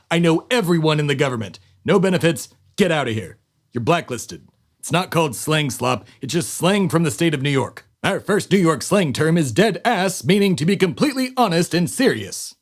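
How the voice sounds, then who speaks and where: An actor playing a pompous, amoral billionaire who embodies America and hosts a comedy audio drama, with formal, theatrical diction. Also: male voice, a little high, lightly acted. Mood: indignant, then grandiose. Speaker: show host. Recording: reverberant hall, music behind.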